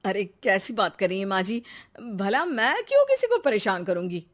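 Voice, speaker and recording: A woman's voice: mid-range voice, big pitch swings, moderate pace, natural voice. A woman voicing a bossy, two-faced middle-aged mother-in-law in a cartoon story, protesting and scolding. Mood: placating.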